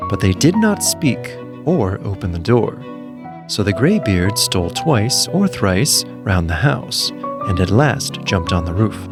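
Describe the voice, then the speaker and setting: Male fairy-tale narrator: lowish voice, lively intonation, measured scripted storytelling.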